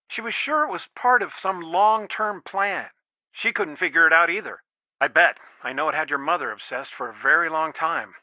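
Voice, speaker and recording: A loving, protective father in a video game. He is middle-aged and earnest, with a slightly high, very bright and tense voice. The sound is dull and lo-fi, like a radio. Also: no echo. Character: protective, worn, loving father of a young detective.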